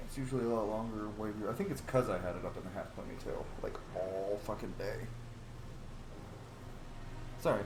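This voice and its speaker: A man casually chatting to viewers while playing a roleplay game, rambling and swearing freely. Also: fairly low pitch. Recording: echoey room, faint hiss.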